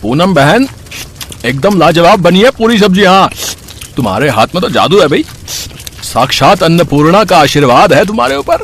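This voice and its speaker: A man voicing a delighted male customer in a cartoon story, praising loudly and effusively. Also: high-pitched, very animated, normal speed, a little hiss or hum, full character voice. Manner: loud, gushing praise.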